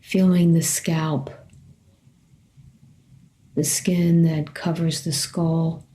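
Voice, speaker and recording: A woman meditation teacher leading a guided body scan in a gentle, unhurried way, leaving long pauses between short phrases. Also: sits low, little pitch movement.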